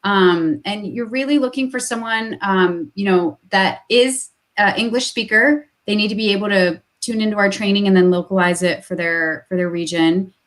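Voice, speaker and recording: A woman's voice: mid-range voice, moderate pace, hardly any pauses. A woman talking business as a guest on a video call, upbeat and full of fillers, repeating her phrases for emphasis.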